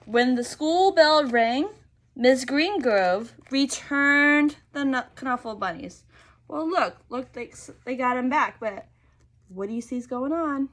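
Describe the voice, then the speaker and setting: A woman reading a picture book aloud to young children, expressive and often pausing to ask them questions. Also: high-pitched. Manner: expressive, prompting listeners with questions.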